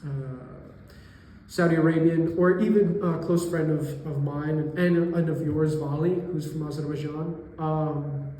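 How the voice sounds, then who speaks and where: A young man in his twenties, a college student from New York, answering interview questions thoughtfully and pausing to find his words. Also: fairly high pitch, fairly even intonation, mellow. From New York area, US. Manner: thoughtful, pauses to search for words. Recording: echoey room with long reverb.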